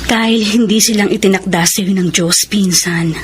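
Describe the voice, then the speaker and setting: A woman's voice: medium pitch, fairly even intonation, normal speed, slight background noise, close to an everyday voice. A woman acting a caring, devout older cousin in a Filipino radio drama, earnest and urging. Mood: concerned, urging.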